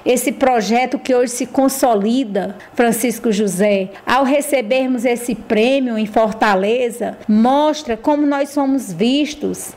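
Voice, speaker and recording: Proud school director, a woman, slightly high voice, talks in emphatic speechmaking bursts. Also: takes it slowly, hardly any pauses, a little mellow. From Ceará, Brazil. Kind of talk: radio interview guest.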